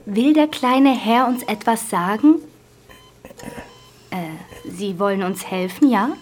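Slow-talking, solicitous hospital nurse. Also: female voice, mid-range voice, animated, a little mellow, faint music behind. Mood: patronising, puzzled. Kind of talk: acted dialogue in an audio drama.

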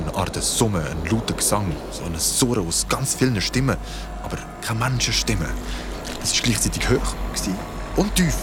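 A man playing a young yacht worker who narrates an eerie ordeal in a radio play, haltingly and with suspense. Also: medium pitch, lightly acted.

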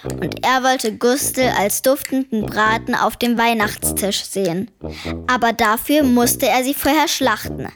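A woman's voice: a little high, animated, measured pace, background noise. A woman playing a clever, defiant ten-year-old girl in a children's radio play, dry and wordy as she tells the story. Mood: wry, dry. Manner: dry, wry, precociously wordy. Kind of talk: German children's radio play narration and dialogue.

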